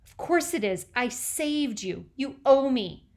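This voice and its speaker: A woman voicing a bossy seven-year-old girl who scolds loudly and draws out her words. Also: sits high, lively intonation, voice pushed up, full character voice. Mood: prim lecturing. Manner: loud, scolding, drawn-out words.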